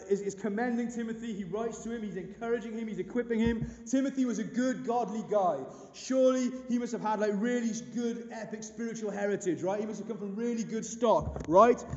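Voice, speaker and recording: A pastor in his thirties with a big booming voice, preaching an earnest, emphatic sermon full of rhetorical questions. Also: a little mellow. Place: church hall. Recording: dull echoey hall recording.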